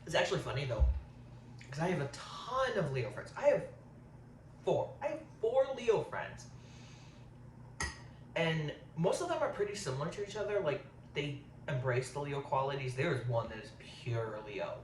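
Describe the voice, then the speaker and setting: A man's voice: fairly high pitch, sing-song, normal speed, pauses often. Man, 30s, casual eating vlog, rambling, lots of um, distant mic, roomy echo. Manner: rambling, says um a lot. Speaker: vlogger. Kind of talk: casual chat while eating on camera. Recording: roomy, distant, faint hiss.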